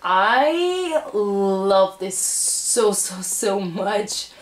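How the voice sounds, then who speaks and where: A woman's voice: mid-range voice, big pitch swings, very slow, a little hiss or hum. A woman chatting casually and enthusiastically as she hosts a haul video, gushing about what she shows. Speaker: video host showing a collectibles haul. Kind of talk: casual haul vlog chat. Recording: echoey room.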